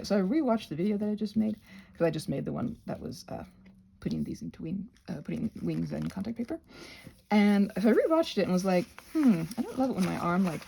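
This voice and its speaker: A woman's voice: on the low side, vocal fry, darkish tone, a little hiss or hum, poor audio. A woman chatting casually as she hosts a craft video, playful and self-deprecating, drifting off on tangents and thinking aloud while she works. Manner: digressive, thinking aloud while working with hands. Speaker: craft and ASMR channel creator, host. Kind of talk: casual rambling craft chat.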